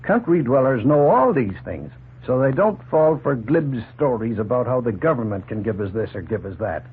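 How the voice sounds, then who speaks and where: A man's voice: mid-range voice, sing-song, moderate pace, lightly acted. Man playing an opinionated farmer, tinny old radio. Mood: firm, explaining. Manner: emphatic, folksy, speechifying. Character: opinionated, plain-spoken Canadian farmer, proudly independent. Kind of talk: 1940s radio drama acting. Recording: noisy, tinny 1940s radio recording.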